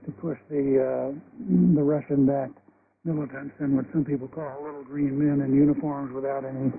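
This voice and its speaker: An American man, a journalist on a panel, giving unscripted news analysis in halting, measured phrases with repeated words. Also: medium pitch, level tone, creaky. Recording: muffled, faintly noisy shortwave radio broadcast.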